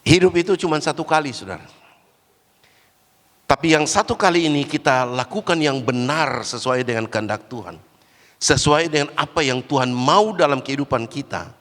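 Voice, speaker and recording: A man with a cold and a cough preaches a church sermon in a fairly high voice. He rises into loud, lifted exhortation, then drops to low, hushed asides. The long reverb of a big church hall trails after his words. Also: normal speed. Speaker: guest preacher. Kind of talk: Pentecostal sermon.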